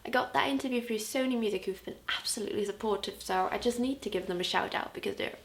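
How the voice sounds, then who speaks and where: A woman's voice: medium pitch, fast talker, gravelly. A young woman with a Scouse accent, chatting casually and enthusiastically in a year-in-review vlog. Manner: chatty and enthusiastic, with frequent fillers. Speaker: vlogger and music interviewer.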